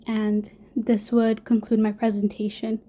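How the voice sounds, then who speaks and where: A young woman with a hoarse voice from a cold, presenting a class project from prepared notes with small restarts. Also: mid-range voice, little pitch movement, a little slow, mellow. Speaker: student presenter.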